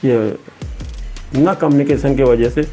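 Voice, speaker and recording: A man's voice: mid-range voice, unhurried, mellow, soft background music. An elderly man in his eighties, a retired army veteran, telling his memories conversationally in a rambling way, repeating words and acting out remembered dialogue. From Betul, central India. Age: 80s. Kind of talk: conversational personal reminiscence.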